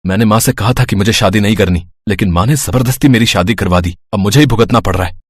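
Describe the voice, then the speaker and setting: A man's voice: on the low side, fast, dry recording, studio quality, close to an everyday voice. Man voicing an anxious, fretful young husband. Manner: pleading, fretful. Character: hapless, anxious young husband hiding a lie.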